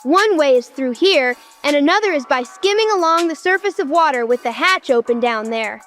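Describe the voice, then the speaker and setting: Woman as proud child pilot, earnest, extremely high voice, comic dub, background music. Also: lively intonation, moderate pace, fairly bright tone. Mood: proud, explaining. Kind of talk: amateur comic dub acting. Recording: music under the voice.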